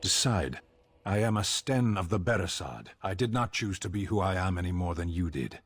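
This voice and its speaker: A man playing a stoic, blunt giant warrior in a video game, gruff and deadpan, speaking in curt, formal sentences. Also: low-pitched, moderate pace, soft background music. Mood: solemn. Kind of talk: video game dialogue acting.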